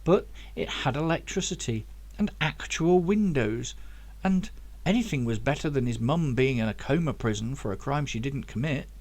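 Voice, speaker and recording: A man's voice: medium pitch, animated, moderate pace, a little mellow. A man reading his own novel aloud as scripted audiobook narration.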